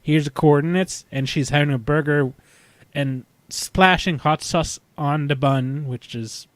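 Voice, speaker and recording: Male podcast host, 30s. Slightly high-pitched, dry close sound, rambling casual recap delivery with halting phrasing. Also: no echo. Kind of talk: casual fan discussion, episode recap.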